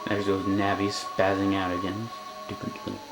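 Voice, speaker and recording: Sarcastic man over clear game music. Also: low-pitched, completely flat delivery, moderate pace, low-quality recording. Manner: sarcastic, grumbling. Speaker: let's play commentator. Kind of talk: casual joking gameplay commentary, reading cutscene text aloud. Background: video game music.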